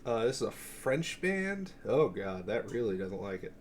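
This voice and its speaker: A man's voice: on the low side, sing-song, unhurried, slight background noise. A man giving an offhand, rambling show-and-tell as a collector, swearing freely and often interrupting himself. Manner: offhand, profane, self-interrupting. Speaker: music collector presenting a cassette collection.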